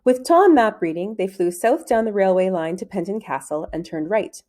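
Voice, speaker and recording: A woman reading a children's novel aloud as a storyteller, in measured, clearly phrased narration. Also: medium pitch, expressive, a little mellow.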